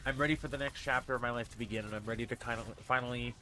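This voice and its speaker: A man's voice: mid-range voice, steady tone, rapid-fire, sharp tone, slight background noise. Stuffy-nosed man with a faint Boston accent. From Massachusetts, USA. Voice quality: stuffy, congested. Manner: rambling, with many restarts and fillers. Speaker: streamer and vlogger. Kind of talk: casual personal vlog. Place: his bedroom with a fan running. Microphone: desk mic on a stand.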